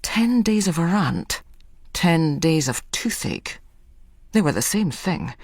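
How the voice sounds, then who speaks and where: Low, husky woman narrating slowly. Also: dry recording, clean studio sound. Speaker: story narrator. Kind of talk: scripted dramatic literary narration.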